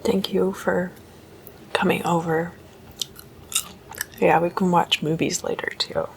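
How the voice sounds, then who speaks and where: A woman doing whispered ASMR as a host, hushed and deliberate, mostly in breathy, unvoiced whisper. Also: lowish voice, flat delivery, a little hiss or hum. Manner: slow, hushed, deliberate delivery.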